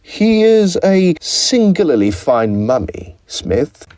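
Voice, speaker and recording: A man playing a nervy, morbid young Egyptologist in an audio drama, halting and over-polite, with a husky voice. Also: a little high, sing-song, a little mellow. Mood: guarded pride. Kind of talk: acted audio drama dialogue.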